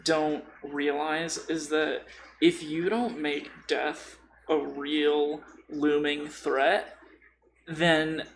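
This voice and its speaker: A man's voice: fairly high pitch, flat delivery, drawn-out words, a little hiss or hum, low-quality recording. A man chatting casually about tabletop role-playing in an informal, rambling way full of tangents.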